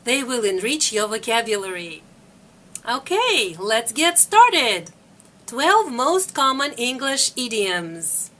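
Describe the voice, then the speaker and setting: A middle-aged woman teaches English to learners. Her voice sits a little high and rises and falls a great deal. She speaks slowly and repeats each phrase clearly. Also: sing-song, pushed and bright. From Russia. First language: Russian. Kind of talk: slow, careful video lesson.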